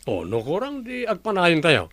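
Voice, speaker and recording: A man's voice: high-pitched, wildly swooping pitch, moderate pace, fairly bright tone, dry recording, full character voice. A man playing a confident resort boss who talks over plans in an Ilocano radio comedy drama. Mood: brisk, assured. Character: confident male resort boss planning improvements.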